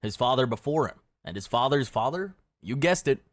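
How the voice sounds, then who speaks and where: A man's voice: mid-range voice, animated, fairly quick, a crowd in the background. A man reading scripted second-person narration for a history explainer video in a darkly wry, dramatic storytelling manner.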